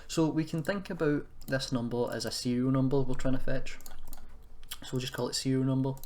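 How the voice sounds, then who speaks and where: A man giving an informal software tutorial, thinking aloud in a hesitant, self-deprecating way as he goes. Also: medium pitch, fairly even intonation, fairly quick. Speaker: tutorial presenter. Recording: noisy room with keyboard clicking.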